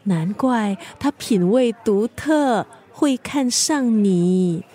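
A bossy best friend in a radio drama. Her high voice carries a Singaporean accent, and she talks with playful, probing wryness. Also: animated, drawn-out words, dark tone, exaggerated voice. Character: teasing, nosy, bossy female best friend. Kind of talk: naturalistic radio drama dialogue.

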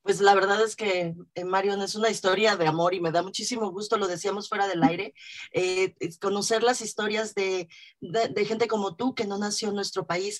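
A woman with a Mexican accent hosting a radio talk show, enthusiastic and chatty, with frequent fillers. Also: medium pitch. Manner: warm, enthusiastic, many fillers. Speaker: radio host. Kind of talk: radio interview.

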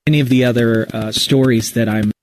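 A man's voice: medium pitch, steady tone, brisk, slight background noise. A man answering questions as a podcast storyteller in a casual live interview, candid and reflective as he thinks aloud. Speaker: writer and storyteller of a fiction podcast, being interviewed.

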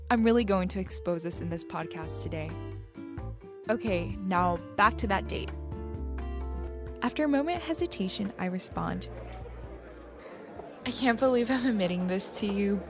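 A young woman hosting a podcast with scripted, confiding and playfully dramatic personal narration. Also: mid-range voice. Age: young adult. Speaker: podcast host.

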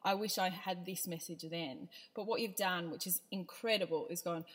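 A woman with a slightly low voice talks as a podcast host. Her style is casual and chatty, with an effusive, teasing, informal way of talking. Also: fairly even intonation, moderate pace, slightly rough. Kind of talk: casual chat.

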